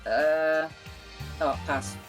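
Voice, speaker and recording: A man's voice: fairly high pitch, very slow, a bit bright. Hesitant male quiz contestant. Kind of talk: casual game show replies. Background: background music.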